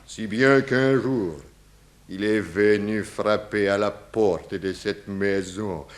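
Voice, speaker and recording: An actor playing an eccentric, philosophical Russian émigré with a Russian accent, grandiloquent and cryptic, dropping his articles in a 1960s French radio crime drama. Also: lowish voice. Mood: gossipy, mournful. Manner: slow, grandiloquent, broken grammar with dropped articles.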